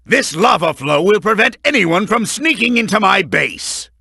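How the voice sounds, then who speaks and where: A man voicing a pompous, boastful mad scientist villain in a video game, with a gravelly, forceful voice and theatrical, commanding delivery. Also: high-pitched, lively intonation, moderate pace, heavily acted. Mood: commanding, proud. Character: boastful, scheming middle-aged male mad scientist villain, bombastic.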